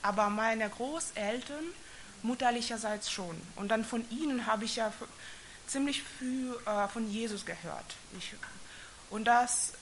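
Halting woman giving a faith testimony. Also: a little high, fairly bright tone, a little hiss or hum, low-quality recording. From Soviet Union. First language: not German. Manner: halting, hesitant, with frequent restarts. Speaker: church member giving testimony. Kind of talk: personal faith testimony with scripture reading.

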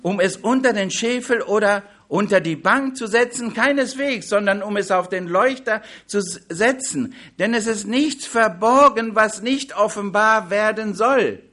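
A man preaching a sermon on a Bible passage in a language that is not his first, with slow, emphatic delivery that drops into quiet asides. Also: high voice. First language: not German.

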